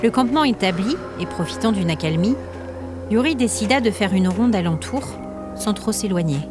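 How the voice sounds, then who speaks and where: A woman narrating scripted fiction as a storyteller, with measured, literary phrasing. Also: medium pitch, lively intonation, over music.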